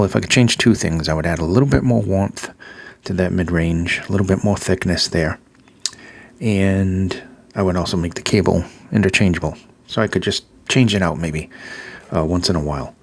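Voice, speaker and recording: A man with a Massachusetts accent talks through a casual gear review. His voice sits very low and has a gravelly edge. He fills his pauses with ums and uhs and repeats his words of praise.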